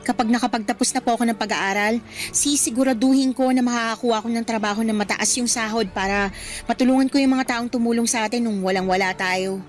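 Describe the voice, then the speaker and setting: A woman's voice: on the high side, steady tone, fast, lightly acted. A young woman playing a devoted, outspoken student daughter in a Filipino radio drama, earnest and emotional. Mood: caring, earnest.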